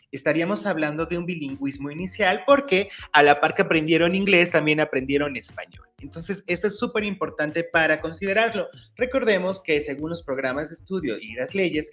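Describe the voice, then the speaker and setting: A man, hosting his own channel and clearly explaining teacher-exam study material in an upbeat, explanatory way. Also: fairly high pitch, a bit bright. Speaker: YouTube channel host, teacher-exam tutor. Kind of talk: educational explainer reading curriculum text.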